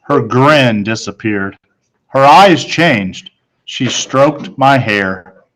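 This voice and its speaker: A man narrating a children's novel in the first person as a schoolboy, in a measured storytelling manner. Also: mid-range voice, expressive, a bit bright, poor audio. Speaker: storybook reader.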